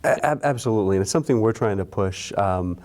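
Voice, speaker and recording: A man's voice: fairly low pitch, animated, fast talker, a little mellow. A man from the local school system talking earnestly about programs, often restarting his sentences. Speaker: school administrator guest. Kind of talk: casual chat.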